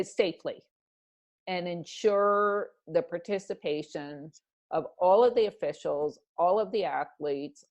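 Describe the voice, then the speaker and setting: A woman's voice: fairly low pitch, a little mellow. A woman in her fifties speaking as a guest in a conversational radio interview, reflective and earnest, with emphatic phrasing. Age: 50s.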